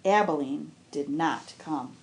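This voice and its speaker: A woman on a school's staff reading a children's novel aloud, with expressive storybook narration. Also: low voice, slow.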